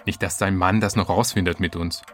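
An actor plays a cautious, fussy man in an audio comedy. His voice is fairly low and he talks hesitantly and politely, over faint music. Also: fairly even intonation, brisk, lightly acted. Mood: fussy, anxious. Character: fussy, cautious bachelor having a secret affair.

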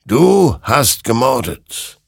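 Brief: spectral death rider voiced by a man, very slow, raised, gravelly. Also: medium pitch, sing-song, no echo, studio quality, pitched above the performer's own voice, exaggerated voice. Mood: ominous. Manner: slow, hissing, solemn. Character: ghostly male rider of death, calm and ominous.

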